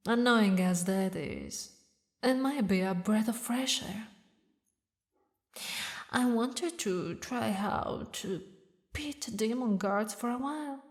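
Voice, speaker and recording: A woman voicing a haughty, mocking demon queen who mourns a lost lover, speaking in slow, theatrical, regal phrases for an audio roleplay. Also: a little high. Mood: amused, taunting. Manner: slow, taunting, regal, with dramatic pauses. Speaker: villainous ruler addressing a knight.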